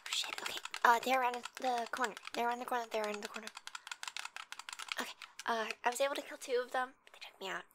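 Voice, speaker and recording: Woman playing a playful competitive young gamer girlfriend, slightly high voice, ASMR roleplay, teasing, breaks into whispers, noisy clicking behind. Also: steady tone, fast talker, natural voice. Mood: focused. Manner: teasing, casual, often dropping into whispers.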